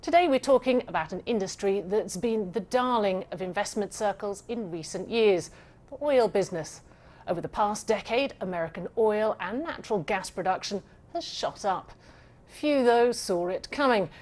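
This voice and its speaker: A woman presenting a television business programme in a clear, measured, emphatic broadcast style. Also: medium pitch. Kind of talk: broadcast presenting, scripted narration and panel introductions.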